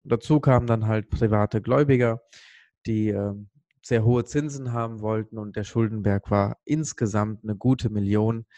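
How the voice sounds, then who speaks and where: A man from Sri Lanka speaking earnestly and with emphasis on a motivational podcast, pausing for weight as he tells a personal story. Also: fairly low pitch, fairly even intonation, warm tone, dry recording.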